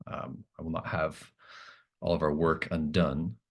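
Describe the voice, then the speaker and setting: Man voicing a stern, low-voiced female camp boss. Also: little pitch movement, vocal fry, slightly rough, heavily acted. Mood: stern, controlled. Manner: firm, measured, formal. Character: stern, businesslike woman in charge of a camp.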